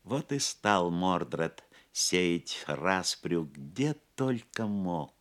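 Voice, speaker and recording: Low, animated male storyteller. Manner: theatrical, measured. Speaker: narrator. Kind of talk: expressive dramatic storytelling, scripted audio play.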